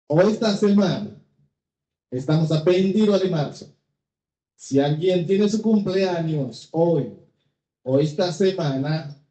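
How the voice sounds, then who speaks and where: A Haitian singer in his fifties, whose first language is Haitian Creole, answers audience questions slowly and carefully, searching for words in a second language. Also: a little high, dark tone. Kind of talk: live public Q&A. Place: cultural venue hall with an audience. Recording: echoey, dull hall sound.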